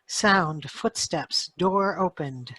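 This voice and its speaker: A woman's voice: on the low side, drawn-out words, sharp tone, husky, no room sound. A woman reading out sound-effect cues for a radio drama in short, announcing phrases.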